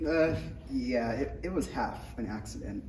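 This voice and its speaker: A man's voice: mid-range voice, lively intonation, unhurried. A man playing an earnest, self-doubting young apprentice knight, hesitant and awkward, at a table read. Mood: sheepish. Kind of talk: table read of a parody script. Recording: echoey room, distant mic, faint noise.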